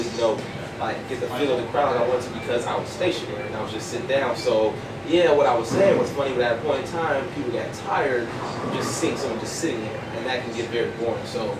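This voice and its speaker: A 22-year-old American man from Chicago, a stand-up comedian chatting casually and jokingly in slangy language as an interview guest. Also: mid-range voice. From Chicago, United States. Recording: echoey, distant, very noisy public space.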